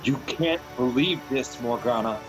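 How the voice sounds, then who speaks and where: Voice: mid-range voice, measured pace, soft background music, lightly acted, rough recording. A performer playing an unsure, earnest young male knight in a fantasy radio play. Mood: earnest, pleading. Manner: hesitant, earnest.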